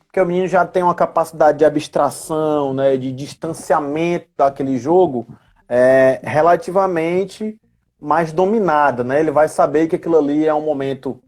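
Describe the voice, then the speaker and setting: A man's voice: high-pitched, darkish tone. Man, explaining casually. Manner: didactic, often checks with tag questions. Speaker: guest educator answering questions on role-playing games. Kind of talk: casual explanatory live chat.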